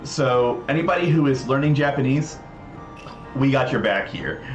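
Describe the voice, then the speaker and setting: A man's voice: mid-range voice, expressive, moderate pace. Man, livestream host, casual demo commentary, mid-range voice, roomy echo, music behind. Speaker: host demonstrating a game project. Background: game music.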